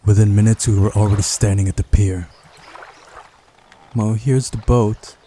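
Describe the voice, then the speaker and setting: A man's voice: lowish voice, steady tone, moderate pace, mellow, slight background noise. A man hosting a visual novel playthrough, reading the story aloud with casual, playful asides over game music. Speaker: video game playthrough host.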